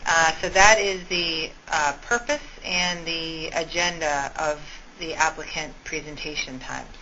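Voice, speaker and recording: A woman explains procedures step by step in an informal briefing. Her voice is fairly low and clearly bright, coming through a dull phone conference line with faint noise. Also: steady tone, unhurried. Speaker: school meetings organizer briefing a conference call. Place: conference call over telephone. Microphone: telephone.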